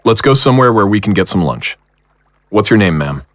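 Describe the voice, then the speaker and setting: Low-voiced, wry man as determined investigator. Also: big pitch swings, fairly quick, dry recording. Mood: calm, persuasive. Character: weary, determined male investigator of strange happenings. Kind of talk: audio drama acting.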